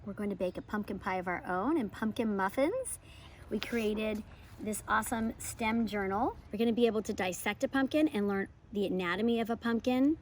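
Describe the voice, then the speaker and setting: An American woman hosting a homeschool lesson and children's read-aloud, with expressive, emphatic storybook phrasing. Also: medium pitch, fairly quick, a little hiss or hum. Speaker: homeschool educator and channel host.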